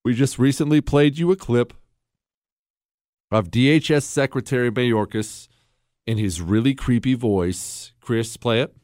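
Sarcastic talk radio host, dry voice. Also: male voice, mid-range voice, a little slow, a little mellow, no room sound. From Ohio, USA. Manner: sarcastic, joking, mocking. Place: radio studio.

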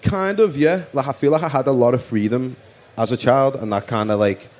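A man's voice: mid-range voice, brisk, darkish tone. A man with a Liverpool accent, a musician talking casually in an interview, rambling with lots of "like" and "you know what I mean". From Liverpool, England. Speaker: musician guest.

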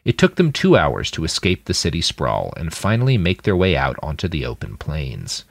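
A man's voice: very deep voice, huge pitch swings, moderate pace, vocal fry, no echo, studio quality. A man reading a story aloud as scripted audiobook narration. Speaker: author reading his fiction podcast.

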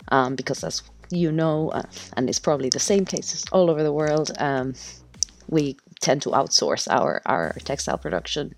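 Low-voiced woman. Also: darkish tone. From Mexico. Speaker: podcast guest, natural textile dyer. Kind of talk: casual interview talk.

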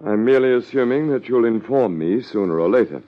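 An actor playing a stiff, evasive, easily affronted husband in a 1950s radio drama, speaking formally and hesitantly. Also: male voice, medium pitch, expressive, a little fast, close to an everyday voice. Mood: indignant. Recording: muffled old radio recording.

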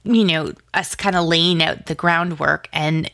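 A woman with a lowish voice talks as a guest in a casual interview. Her phrasing is loose and conversational, and the sound is dry, with no room reflections. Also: fairly even intonation, unhurried, creaky, a bit bright, no echo. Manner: informal, conversational, peppered with "like". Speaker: interview guest, audio drama writer.

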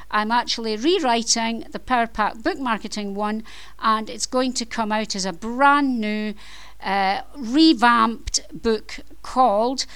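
A woman's voice: on the high side, fairly bright tone, clean tone. A middle-aged Scottish woman with a Scottish accent hosting her own podcast, reading a scripted introduction in an upbeat, chatty way with playful self-deprecating asides. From Scotland. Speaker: podcast host and author.

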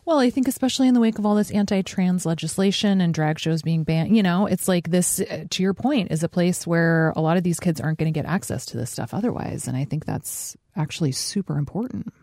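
A woman in her thirties hosting a casual chat, warm and playful, dropping in quick reactions. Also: lowish voice, no echo, studio quality. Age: 30s. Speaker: host.

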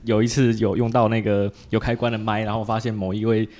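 Man, low voice, Taiwanese Mandarin accent, casual podcast host, joking, repetitive interjections. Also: level tone, fast talker, a little mellow.

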